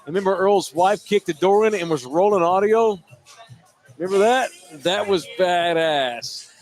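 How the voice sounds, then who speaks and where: A man's voice: sits high, measured pace, a little mellow, faint music behind. A man hosting a casual sports podcast, joking crudely and reading out viewer comments. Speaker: podcast host. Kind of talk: casual sports talk with a co-host.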